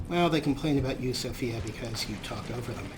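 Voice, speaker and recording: Male let's play host, mid-range voice, casual commentary over clearly audible game ambience. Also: mid-range voice, fast. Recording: noisy, game sound behind voice. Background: video game ambience.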